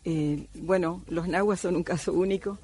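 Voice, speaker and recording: A woman's voice: on the low side, steady tone, normal speed, warm tone, slightly rough. A middle-aged woman from Cuba, an anthropologist, speaking thoughtfully with many hesitations in a radio interview. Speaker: anthropologist guest.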